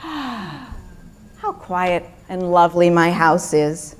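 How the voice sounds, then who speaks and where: A woman voicing a fretful man who is fed up with his noisy house, in a whiny, high, exasperated voice. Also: big pitch swings, slow pace. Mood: relieved.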